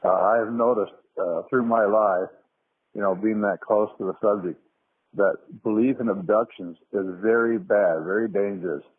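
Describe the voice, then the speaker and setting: A thin, phone-like recording carries a man's low, rough-edged voice. He leaves an earnest message, speaking solemnly and hesitantly. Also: steady tone, normal speed.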